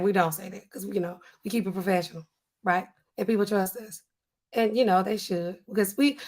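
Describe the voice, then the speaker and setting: Hoarse woman pharmacist on a livestream. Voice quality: husky, weak voice. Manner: animated, drops low and slow to sound stern. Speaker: pharmacist streamer. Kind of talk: casual livestream chat reading comments. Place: home studio with neon sign.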